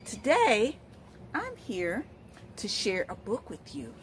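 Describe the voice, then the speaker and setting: A middle-aged woman reading a picture book aloud to young children, expressive and asking questions as she goes. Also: mid-range voice, slow, noisy background. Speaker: storytime host, literacy educator. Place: outdoors, in front of leafy shrubs.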